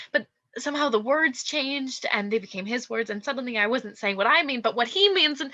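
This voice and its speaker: A woman's voice: on the high side, sharp tone. A woman acting in a radio play as a scheming young actress, falsely meek and coolly blackmailing. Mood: meek, contrite. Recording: dull, lo-fi voice track.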